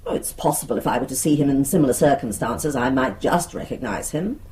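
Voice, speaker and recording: An actress playing a haughty, opinionated older British witness in a radio drama, prim, precise and sure of herself. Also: female voice, rumbling low, animated, fairly quick, a little mellow, a little hiss or hum. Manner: prim, precise, indignant certainty.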